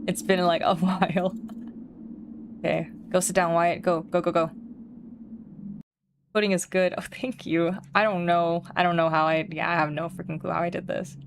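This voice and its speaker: A woman's voice: on the low side, level tone, normal speed, long pauses, slight background noise. A woman livestreaming a game she made, chatting casually in a scattered, self-interrupting way. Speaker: streamer and game developer playing own game. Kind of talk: casual livestream chat with read-aloud game text.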